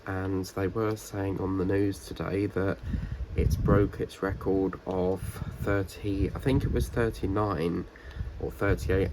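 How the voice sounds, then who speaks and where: A man with a fairly low, gravelly voice talks in a rambling, casual way, full of fillers and restarts. His voice is croaky and stuffed up from a cold. A faint hiss sits behind him. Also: darkish tone, low-quality recording. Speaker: diamond painting vlogger. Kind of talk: casual chat while crafting.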